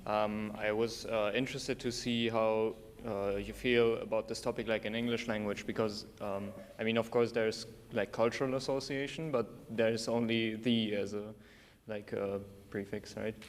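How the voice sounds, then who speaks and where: A man's voice: on the low side, fairly even intonation, normal speed, hardly any pauses. A man with a German accent and German as his mother tongue, asking a presenter questions from the audience, hesitating and searching for words. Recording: long hall reverb, faint background noise.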